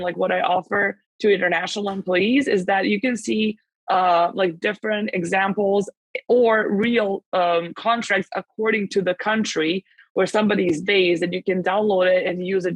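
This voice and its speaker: A woman whose first language is Serbian speaks as a podcast guest, her voice in a plain middle register for a woman. She talks fast and rambling, with lots of "like" and self-corrections. The recording is close and dry, with no room sound. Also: mid-range voice, fairly even intonation, no room sound. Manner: fast, rambling, many fillers and restarts. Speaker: guest, translation agency founder. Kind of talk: casual podcast interview.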